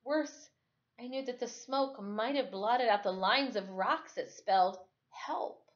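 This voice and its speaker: Woman reading a story, dull lo-fi sound. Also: a little high. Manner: dramatic, deliberate phrasing with acted-out exclamations. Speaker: storyteller reading a children's novel aloud. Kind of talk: expressive first-person story read-aloud. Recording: dull lo-fi recording.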